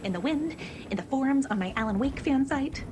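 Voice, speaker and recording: A woman's voice: high voice, expressive, a little fast, noisy background. A woman playing a bubbly, obsessive superfan in a video game, coy and conspiratorial, with unhinged devotion. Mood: giddy, adoring. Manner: coy, giddy, playful, conspiratorial. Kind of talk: animated game dialogue.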